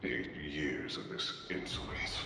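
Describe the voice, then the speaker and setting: An actor playing a cold, imperious crime lord in a video game, with slow, contemptuous and menacing delivery. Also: male voice, extremely low pitch, lively intonation, gravelly, heavy background noise, full character voice. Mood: cold contempt. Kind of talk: dramatic video game acting. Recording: echoey large hall from far off, music behind.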